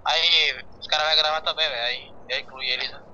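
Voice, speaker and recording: A man's voice: on the high side, fairly even intonation, fairly quick, bright, forceful tone, muffled recording, a little hiss or hum. A man with a Brazilian Portuguese accent, bantering casually with friends in a game voice chat in a laid-back, slangy way.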